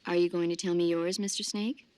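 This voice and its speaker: A woman's voice: fairly low pitch, flat delivery, brisk, a little mellow, clear, resonant tone, no room sound, lightly acted. An actress playing a poised young female military medic in a video game, with a friendly, teasing manner. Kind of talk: video game cutscene acting.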